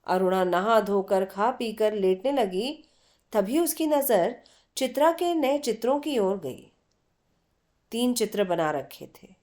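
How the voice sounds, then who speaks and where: Slow, expressive woman storyteller, higher-pitched. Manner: unhurried, dramatic pauses. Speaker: story reader. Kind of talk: expressive literary story narration.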